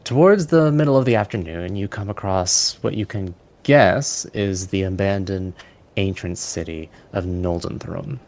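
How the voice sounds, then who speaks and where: A man's voice: low voice, measured pace, darkish tone. A man narrating a tabletop game scene to players as game master.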